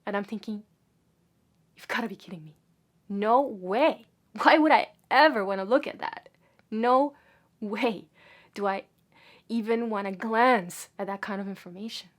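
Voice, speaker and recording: A woman vlogging in a casual, opinionated monologue, with sudden loud emphatic bursts and quiet asides. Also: mid-range voice, unhurried, pauses often.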